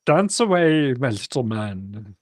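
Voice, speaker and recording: A woman's voice: extremely low pitch, very animated, takes it slowly, no room sound, studio quality, full character voice. A woman playing a cocky boy leader who acts the stern father in a theatrical storybook reading. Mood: indulgent.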